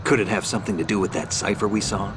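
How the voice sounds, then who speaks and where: An actor playing a gruff, terse special-ops soldier with a deep gravelly voice in a game cutscene. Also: male voice, steady tone, fast, noisy background, lightly acted. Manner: terse, blunt.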